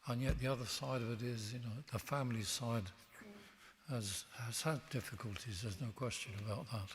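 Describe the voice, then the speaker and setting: A man speaking as an interview guest in a church, reflective and halting, with frequent restarts. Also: mid-range voice. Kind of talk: reflective conversation. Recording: long church reverb.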